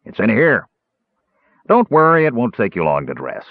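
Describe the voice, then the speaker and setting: A man plays a coarse, menacing dwarf from a fantasy audiobook. He jeers and bullies in a husky voice with big swings of pitch, heard through a narrow, tinny band. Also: wildly swooping pitch, normal speed, no room sound. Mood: leering, gleeful. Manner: cackling, bullying, coarse. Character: gruff, jeering, menacing old-style dwarf taskmaster.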